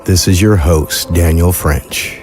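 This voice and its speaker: Deep, slow-paced male podcast host. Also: darkish tone, faint music behind. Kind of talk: scripted podcast introduction.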